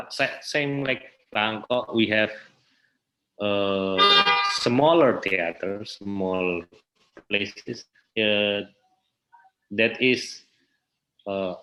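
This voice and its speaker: A man with an Indonesian accent explaining things in an interview, halting and searching for his words. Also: fairly low pitch, pushed and bright, a little hiss or hum, low-quality recording. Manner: halting, searching for words in non-native English. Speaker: interview guest, theatre researcher and festival director.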